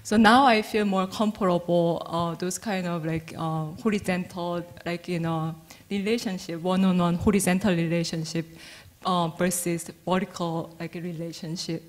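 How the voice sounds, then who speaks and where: Korean lady on a panel, kinda low voice, slow careful with lots of uh and um. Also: steady tone. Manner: measured, with "uh" and "um" pauses. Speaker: panelist artist. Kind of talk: panel discussion. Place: stage of a museum auditorium. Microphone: handheld mic.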